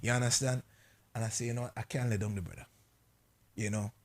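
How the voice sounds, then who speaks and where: A man's voice: fairly low pitch, steady tone, fast. Rambling male morning show host. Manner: rambling, repeats words, frequent "you understand?". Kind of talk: casual live show chat.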